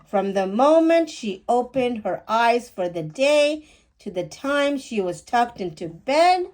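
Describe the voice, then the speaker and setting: Woman reading a picture book, very lively pitch. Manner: deliberate, animated phrasing for children. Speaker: children's picture book storyteller. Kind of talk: expressive read-aloud of a rhyming picture book.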